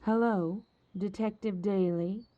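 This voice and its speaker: A woman's voice: mid-range voice, steady tone, slow, muffled recording, heavy background noise, natural voice. A woman playing a wry, flirtatious, mysterious femme fatale in a parody of a 1940s radio detective play. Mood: cool, wry. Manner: wry, arch, suggestive.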